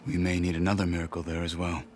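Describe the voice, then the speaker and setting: Actor as proud, steadfast warrior marshal over music. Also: male voice, low-pitched, monotone, quick pace, darkish tone, close to an everyday voice. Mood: calm, resolute. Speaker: video game character. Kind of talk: dramatic game dialogue.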